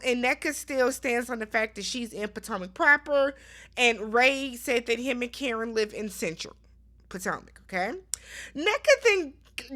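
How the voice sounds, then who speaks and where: A woman's voice: on the high side, sharp tone. A woman giving casual, chatty recap commentary as a host, emphatic and opinionated, often addressing her listeners directly.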